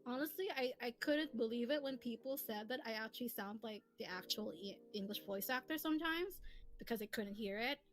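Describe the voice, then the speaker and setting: A woman with a high voice rambles through a casual livestream chat. She is animated and giggly, like someone chatting with friends. Soft lofi music plays faintly behind her. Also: brisk. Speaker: streamer and host who voices comic dubs. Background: soft lofi background music.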